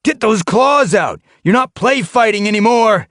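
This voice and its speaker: A man voicing a fierce young male cat leader who yells and snarls harsh commands at his warriors in an audiobook. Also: high voice, expressive, a little slow, dry recording, clean studio sound, pitched above the performer's own voice. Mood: yelling.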